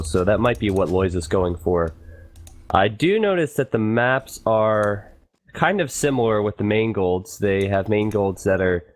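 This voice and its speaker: A man casting a live strategy game match, talking in a relaxed, analytical, chatty way. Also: on the low side. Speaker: esports caster.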